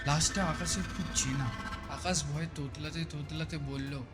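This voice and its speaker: A man's voice: fairly high pitch, fairly even intonation, moderate pace. A man giving a scripted narration of a horror story. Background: music.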